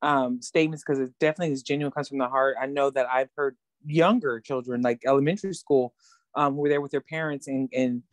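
A woman's voice: extremely low pitch, quick pace, no echo. A woman taking part in an online workshop, talking earnestly with many restarts over a video call. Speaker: workshop participant. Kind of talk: casual discussion in a video call.

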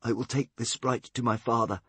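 A man's voice: mid-range voice, steady tone, a little fast, fairly bright tone, slightly rough, dry recording, voice pushed up. A man voicing a proud, distraught young wizard boy in a storybook, impassioned and defiant. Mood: numb, defiant.

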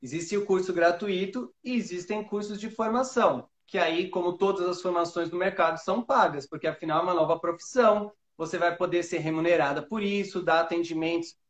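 A man's voice: high-pitched, brisk, few pauses. A Brazilian man around forty hosting a casual live interview and explaining things to viewers. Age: 30s or 40s. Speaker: host, therapy course instructor. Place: indoor room. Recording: roomy, echoing call audio.

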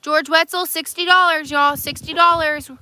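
A woman with a drawl announcing bidders and prices at an outdoor auction, brisk and businesslike. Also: sits high, steady tone, bright, forceful tone. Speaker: auction clerk.

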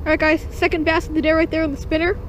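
A fishing vlogger with a high, bright-pitched voice calls out catches with upbeat excitement, talking straight to the viewers. The sound comes from an action camera worn on the body at a creek bank outdoors. The audio is dull and a bit muffled, with faint background noise. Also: fast talker. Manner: upbeat, keeps calling the viewers guys. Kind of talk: excited first-person fishing commentary.

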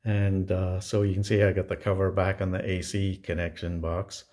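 A man giving a casual how-to narration of a home installation, explaining step by step with halting pauses mid-sentence. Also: low-pitched, flat delivery, a little mellow. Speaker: DIY home installer presenting his project. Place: indoors in a home.

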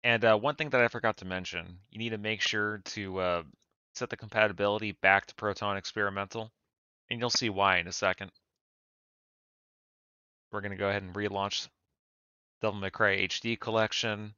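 A man's voice: on the low side, fairly even intonation, moderate pace, long pauses, sharp tone, clear, resonant tone, no echo, dull audio. A man talking informally through a casual how-to screen-capture video. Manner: informal, conversational. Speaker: tutorial video maker.

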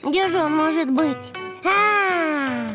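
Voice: high-pitched, lively intonation, slow pace, over music. A voice actor playing a cheerful, boastful little boy donkey in a preschool cartoon dub, full of little gasps and cries. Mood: searching, worried.

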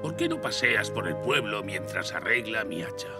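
Un actor que interpreta a un viejo abuelo de montaña, seco y firme pero bondadoso, en una serie animada. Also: male voice, lowish voice, very animated, normal speed. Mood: gruff, calm. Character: gruff but kindly old mountain grandfather. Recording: loud music behind.

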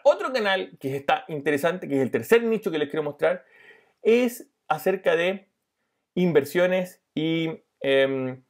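Middle-aged man teaching over a screen share. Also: on the high side. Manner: explanatory, with fillers and restarts. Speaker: YouTube money-making coach.

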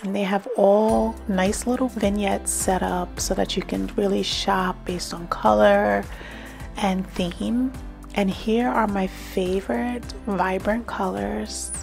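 A middle-aged woman narrating a shop-with-me vlog about home decor, enthusiastic and gushing over finds, sometimes dropping to a soft whisper. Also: mid-range voice, vocal fry. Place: in a car, then walking through large stores.

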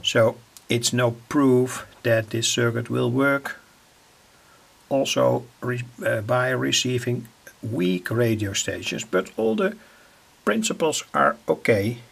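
Male hobbyist vlogger, Dutch accent, extremely gravelly and rough-edged voice, halting informal technical explanation. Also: mid-range voice, expressive. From Netherlands. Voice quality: gravelly, husky. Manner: halting, deliberate phrasing with pauses. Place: indoors at a home electronics workbench.

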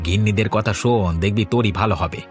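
A man's voice: fairly low pitch, fast, soft background music, close to an everyday voice. A man voicing a bossy but kindly village trader in a cartoon, lecturing a younger man and reassuring him. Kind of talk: cartoon voice acting.

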